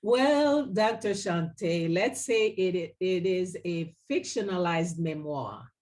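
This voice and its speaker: A woman from Haiti, a guest author, answering interview questions politely and in a measured way. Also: lowish voice, expressive, a little mellow. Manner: polite, measured, some fillers.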